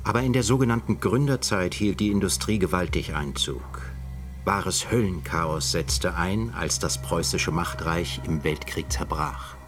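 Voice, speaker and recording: A grave middle-aged philosopher reading from his own book in a radio play. The voice is very deep and solemn, lecturing in literary prose over faint music. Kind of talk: literary scripted radio drama narration.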